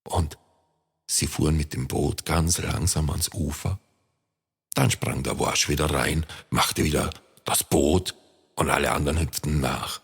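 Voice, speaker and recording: A man narrating a children's story in a lively manner, speaking to young listeners. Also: a bass voice, vocal fry, breathy edge. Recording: long reverb.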